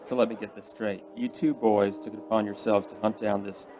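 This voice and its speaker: A man acts a middle-aged investigator in a radio play, stern but fatherly. His voice is on the low side, and he speaks with measured, probing authority. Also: level tone, warm tone, a little hiss or hum, close to an everyday voice. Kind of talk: radio drama acting.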